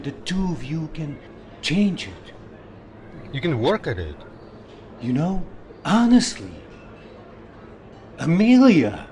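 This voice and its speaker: A man's voice: on the high side, darkish tone, slight background noise. An actor playing a wounded, jealous older man in love in a film drama, earnest and pressing as he talks. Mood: hurt, agitated. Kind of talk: naturalistic film drama dialogue.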